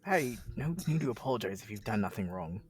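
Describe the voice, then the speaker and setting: A man's voice: fairly low pitch, expressive, fast talker, a little mellow, slightly rough. A man reading visual novel narration and dialogue aloud in a casual way, with slangy reactions. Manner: informal, slangy asides. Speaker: co-reader in a visual novel let's play. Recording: faint music behind the voice. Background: faint guitar music.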